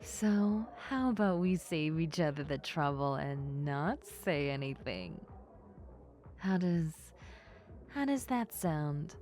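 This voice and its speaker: A woman acts out a popular schoolgirl who has had a few drinks, in an intimate roleplay. Her voice sits low, and she drags her words out very slowly. She is coy and teasing, hesitant and prone to giggles. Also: animated, a little mellow, soft background music. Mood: nervous, embarrassed. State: acting drunk. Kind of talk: intimate roleplay monologue to the listener.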